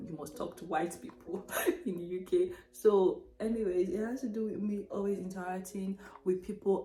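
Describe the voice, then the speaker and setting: A woman's voice: medium pitch, mellow. Chatty Nigerian woman telling a story. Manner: chatty, self-mocking, laughs often. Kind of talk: casual storytime monologue. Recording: echoey room, faint music behind.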